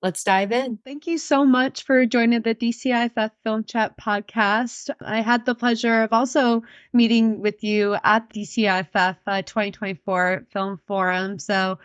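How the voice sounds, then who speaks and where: A woman's voice: fairly high pitch, fairly even intonation, moderate pace, few pauses, smooth, no room sound, dull audio. Upbeat woman podcast host. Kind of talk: scripted intro, then casual interview chat.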